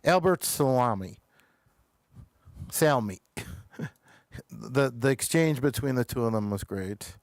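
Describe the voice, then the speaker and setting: A man hosting a casual review show, halting as he searches for words. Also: medium pitch, animated, creaky, no room sound, studio quality. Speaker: talk show host reviewing TV episodes.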